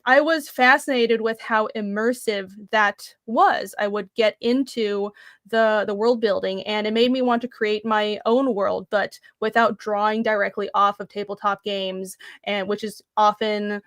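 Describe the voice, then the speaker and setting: A woman's voice: medium pitch, steady tone, measured pace, a bit bright, no echo, clean studio sound. A young woman from Taiwan talking about her comics in a casual interview, earnest and thoughtful with many fillers. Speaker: comic creator guest.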